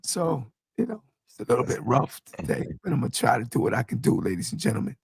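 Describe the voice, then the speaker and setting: A man hosting a casual fan podcast while hoarse and losing his voice, talking in an animated, rambling way. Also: medium pitch, fast talker, no room sound. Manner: animated, hype greetings, rambling. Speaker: podcast host.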